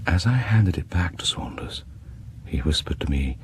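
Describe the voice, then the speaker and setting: Grave British horror narrator, extremely low voice, deliberate, ominous, whispered phrases, vintage radio, faint noise. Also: male voice, lively intonation. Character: grave, sinister male narrator of horror tales. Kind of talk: dramatic scripted horror narration.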